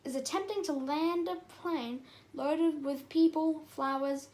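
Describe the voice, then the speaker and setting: An earnest male bee anchorman with a high voice, as a woman reader puts him on. He delivers his lines as a television news bulletin within a storybook tale. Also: measured pace, a little mellow. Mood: grave, announcing.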